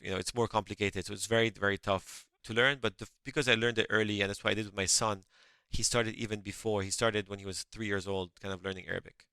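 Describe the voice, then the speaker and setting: A man's voice: fairly low pitch, steady tone, fast talker, pushed and bright, clear, resonant tone, dry recording. A middle-aged man who grew up in France and speaks English as a later language, answering interview questions in an earnest way, often restarting his words. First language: French. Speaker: guest, company founder.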